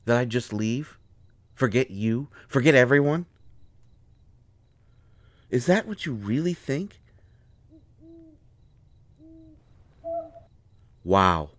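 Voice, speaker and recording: A man playing an indignant, hurt healer mage in a fantasy roleplay audio, expressive, sarcastic and exasperated. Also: mid-range voice, slow pace, pauses often, dry recording. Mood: indignant, wounded. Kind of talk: dramatic roleplay audio acting.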